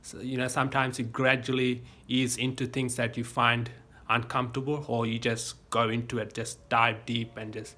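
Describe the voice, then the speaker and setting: Australian male vlogger, phone mic, tense bright tone, loose rambling delivery. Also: mid-range voice, level tone, normal speed. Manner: rambling, folksy advice with many fillers and restarts. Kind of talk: casual rambling monologue to a phone camera. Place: home gym. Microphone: phone camera.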